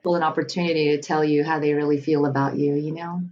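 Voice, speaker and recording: Low-voiced woman in her 40s, candid chatty guest, laughs a lot, slightly muffled audio. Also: steady tone, fast talker, a little mellow, a little hiss or hum. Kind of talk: casual conversation.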